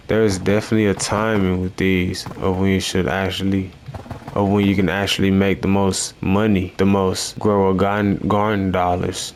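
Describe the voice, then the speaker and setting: A man with a very deep voice and a gravelly rasp to his tone drifts through improvised gameplay commentary. He meanders from thought to thought in a slow, deadpan, self-amused way. A faint noise floor sits under the recording. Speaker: gaming YouTuber.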